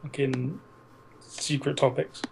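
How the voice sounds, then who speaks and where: A man's voice: mid-range voice, flat delivery, slow pace, vocal fry. A man co-hosting a podcast, chatting casually and bluntly about films, with frequent swearing. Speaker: podcast co-host. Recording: noisy amateur podcast recording.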